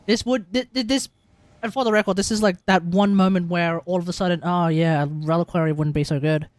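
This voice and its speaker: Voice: mid-range voice, fairly even intonation, brisk, dry recording. A game livestreamer giving casual live commentary on gameplay, with playful exclamations and muttered asides.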